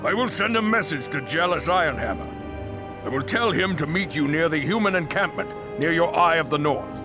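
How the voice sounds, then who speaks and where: An actor playing a proud, blunt, boastful warrior hunter in a fantasy video game. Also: male voice, on the high side, animated, brisk, close to an everyday voice. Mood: gruff, obliging. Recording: game cinematic with music behind.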